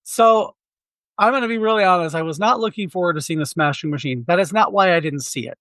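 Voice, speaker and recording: A man's voice: high-pitched, fast talker, fairly bright tone, no echo. A man co-hosting a film podcast, chatting candidly in a rambling way with many restarts. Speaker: podcast co-host. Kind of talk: casual film chat. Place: Quad City area.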